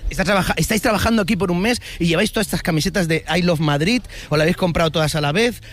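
A man's voice: high voice, racing, fairly bright tone. Chatty, teasing male street reporter. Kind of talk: lively street interviews. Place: street, outdoors. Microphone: handheld microphone.

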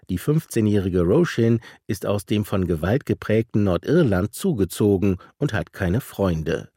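A man narrating a radio culture feature with an expressive storytelling delivery. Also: on the low side, breathy edge, no echo, clean studio sound. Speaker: culture reporter.

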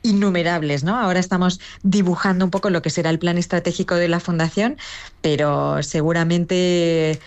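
A woman guest speaks in an interview in a low voice. She answers reflectively, hesitating and adding tag questions as she builds her points. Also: steady tone, normal speed, darkish tone, dull audio. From Castilla-La Mancha, Spain. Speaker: guest, foundation director.

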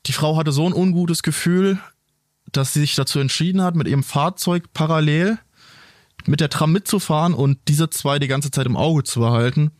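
A man's voice: on the high side, normal speed, darkish tone, no echo, clean studio sound. A man who serves as a police officer, recounting a case in plain storytelling. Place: studio.

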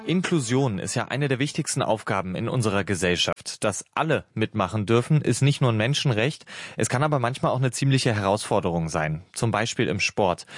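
A man's voice: mid-range voice, dry recording, studio quality. A man presenting scripted news items as a radio host.

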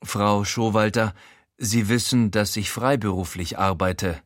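A man's voice: sits low, normal speed, dry recording, clean studio sound, lightly acted. A man voicing an unflappable gardener-enforcer in an audiobook, courteous in tone but firm and formal. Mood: politely firm.

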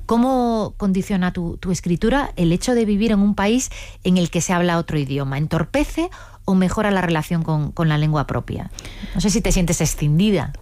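A woman hosts a literary radio interview in a slightly low voice with a dry, studio-close sound. She is articulate and attentive, laying out prepared questions and offering brief affirmations. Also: moderate pace, a little mellow, no echo.

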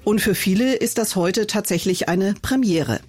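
Female radio reporter, clear and even. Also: medium pitch, normal speed. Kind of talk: live report to the studio.

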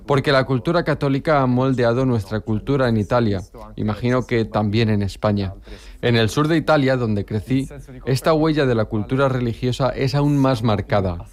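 A man's voice: mid-range voice, darkish tone. A man giving a translated voice-over of an interview, in the role of interpreter.

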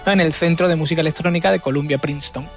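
A man giving an informal, explanatory radio music segment, often pausing mid-phrase and tagging his points for agreement. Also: fairly high pitch. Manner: halting, explanatory, seeks agreement with tags. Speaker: radio music section contributor. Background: music playing underneath.

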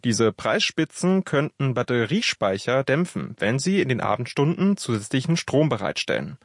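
A male radio reporter reads a prepared business segment in a measured, steady voice. His pitch sits in an ordinary middle range. The studio sound is close and dry. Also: no echo. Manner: measured, even delivery. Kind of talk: scripted news report. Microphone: studio microphone.

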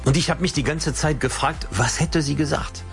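A man's voice: a little high, normal speed, gravelly, soft background music. A man from France speaking German with a French accent, a radio guest answering earnestly with hesitant restarts. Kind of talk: conversational interview answers.

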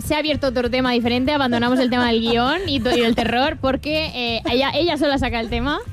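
Higher-voiced woman co-host. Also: steady tone, fast, music in the background. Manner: playful, introduces the guest. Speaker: podcast co-host. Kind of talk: casual chat.

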